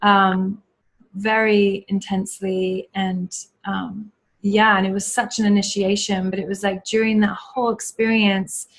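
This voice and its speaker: A woman guest on a video call, telling a personal story in an earnest, reflective, spiritual way with many pauses and fillers. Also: mid-range voice, flat delivery. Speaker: guest, yoga teacher and school founder. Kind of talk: casual interview storytelling.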